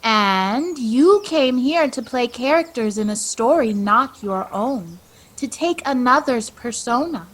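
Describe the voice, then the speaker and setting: Wise female mentor played by a woman: calm, solemn, coaxing, unhurried and fairly high, for radio drama. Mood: knowing reproach. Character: wise, calm, knowing female guide from home. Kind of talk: radio play acting.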